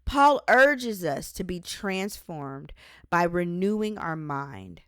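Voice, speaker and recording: A woman narrates a devotional audiobook at an unhurried pace. Their voice sits a little low for a woman, and the delivery is deliberate, with emphatic phrasing. The sound is dry and close, with very little room on it. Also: big pitch swings, clean tone, dry recording. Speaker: author reading their own book aloud.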